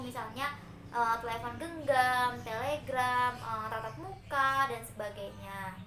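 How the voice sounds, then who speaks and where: A woman's voice: high voice, sharp tone, echoey room, slight background noise. A young woman from Central Java giving a class presentation, explaining step by step to her classmates with frequent hesitation fillers. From Central Java, Indonesia. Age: young adult. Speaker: university student presenter.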